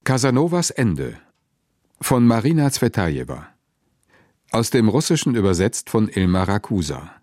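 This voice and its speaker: A man's voice: lowish voice, big pitch swings, moderate pace, darkish tone, no echo, studio quality. A man working as a radio announcer, reading scripted credits in German in a formal style.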